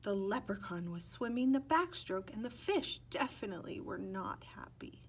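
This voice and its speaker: A woman's voice: medium pitch, animated, moderate pace, a little hiss or hum. A woman narrating a children's picture book expressively, stretching words and acting out sound effects. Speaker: storybook reader.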